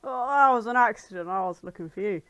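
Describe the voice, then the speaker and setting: A woman's voice: medium pitch, expressive, quick pace, a bit bright, close to an everyday voice. A woman voicing an oblivious, cheerful young male adventurer in a fan story reading. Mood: oblivious, casual.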